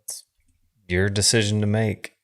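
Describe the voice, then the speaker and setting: Deep-voiced man, casual film co-host. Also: flat delivery, unhurried. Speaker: podcast co-host discussing films.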